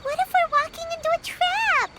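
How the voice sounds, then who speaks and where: A woman voicing a tiny, childlike, excitable and easily frightened fairy companion in a video game, squeaky and expressive. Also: slight background noise, full character voice. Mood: nervous, frightened. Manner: refers to self in third person, expressive, drawn-out exclamations.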